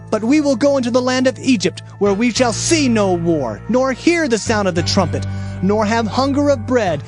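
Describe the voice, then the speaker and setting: An actor playing a stern, impassioned prophet delivering God's warnings in a solemn, declamatory dramatized scripture reading. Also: male voice, high voice, a little mellow.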